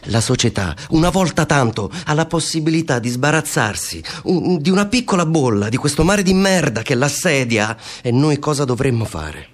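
A zealot in a position of power, ruthless and theatrical. The man's voice sits on the high side. He declaims rhetorically and presses every point with insistence, in radio drama style. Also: sing-song, normal speed, few pauses. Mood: scornful, insistent. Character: ruthless zealous man of power, preaching vengeance and punishment.

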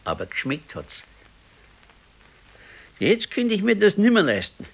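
A man's voice: high voice, huge pitch swings, a little slow, slightly rough, heavily acted. An actor playing a gloomy, ailing pensioner in his sixties in a radio play, talking plainly and colloquially with a Bavarian accent. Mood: querulous, wistful. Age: 60s. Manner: plain, colloquial, brooding complaint. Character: gloomy ailing old pensioner husband, fearful and brooding. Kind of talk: naturalistic radio play dialogue.

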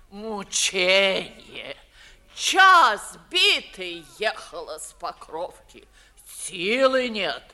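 An imperious old Moscow noblewoman of sixty-five in a classic radio play. She grumbles theatrically in drawn-out phrases, with a sharp, bright edge and sweeping intonation. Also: mid-range voice, exaggerated voice. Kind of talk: 1950s Russian classical stage acting.

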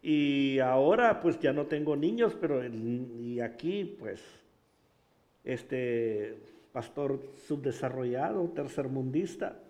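A man from Honduras preaching in Spanish with a Honduran accent, pausing for an interpreter and telling stories with animated humor. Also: mid-range voice. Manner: animated storytelling, laughs at himself. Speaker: pastor. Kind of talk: bilingual sermon with interpreter.

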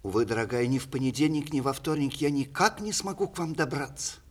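An actor in a 1960s Russian radio play, playing a witty, vain playwright with ironic, declamatory, precise diction. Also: male voice, mid-range voice, fairly quick, close to an everyday voice. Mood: wry, indignant. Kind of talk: 1960s Soviet radio theatre acting.

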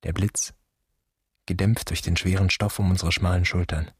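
A very deep, husky male voice gives the inner thoughts of a weary, haunted son. The phrases are sparse and measured. It has the style of a poetic radio drama. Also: steady tone. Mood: haunted remembering. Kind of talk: poetic radio play monologue.

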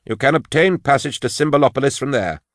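A man's voice: medium pitch, lively intonation, fast talker, dry recording, clean studio sound, pitched above the performer's own voice, lightly acted. A man voicing a stern, officious brother of a grim hospice in a snapping, barking manner. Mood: curt, stern.

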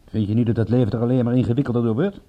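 An actor playing a brooding, blunt, dogged private detective in a radio drama, with a slightly husky voice. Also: male voice, mid-range voice, fairly even intonation, racing, narrow, tinny audio, lightly acted. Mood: probing, wry.

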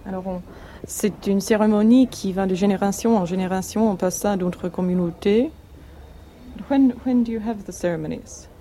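A woman's voice: medium pitch, normal speed, mellow, a little hiss or hum. A woman interpreting an interviewee's answers into French, plainly and in the first person.

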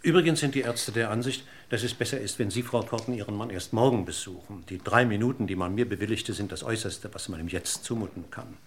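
An actor playing a seasoned police inspector in a radio crime drama, deliberate and insinuating, with ironic courtesy and a gravelly voice. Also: male voice, on the low side, slight background noise, natural voice. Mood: brisk, pointed.

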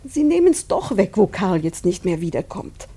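Husky, anxious older woman. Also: mid-range voice, very animated, moderate pace. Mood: fearful, agitated. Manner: worried, hushed. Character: anxious, fretful mother and wife, fearful of neighbours. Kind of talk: German radio drama acting.

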